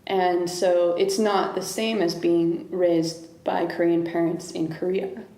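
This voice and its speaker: A woman speaks as an interview guest, pausing and restarting as she searches for the right words. Her voice sits low and mellow, with a gravelly, fried edge. The recording carries a noticeable echo from the room. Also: little pitch movement. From United States. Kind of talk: reflective interview conversation. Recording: echoey room.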